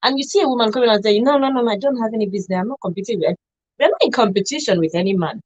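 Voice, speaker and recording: A woman's voice: on the high side, lively intonation, rapid-fire, darkish tone, dry recording, lo-fi sound. A woman with a Nigerian accent hosting a radio talk show.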